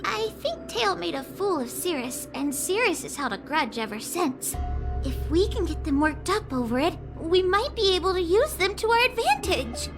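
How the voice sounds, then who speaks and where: Tiny squeaky careful game girl, earnest explainer, music underneath. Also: expressive, normal speed. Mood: nervous, scheming. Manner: earnest, explanatory, slightly hesitant. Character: small, bookish, imaginative girl, cautious schemer. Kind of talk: video game character dialogue. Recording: music behind the voice.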